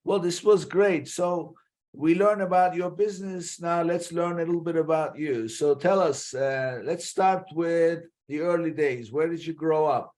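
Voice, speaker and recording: A man with a voice a little higher than average hosts an interview. He speaks conversationally, summing up points and putting questions as he goes. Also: measured pace, darkish tone. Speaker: podcast host.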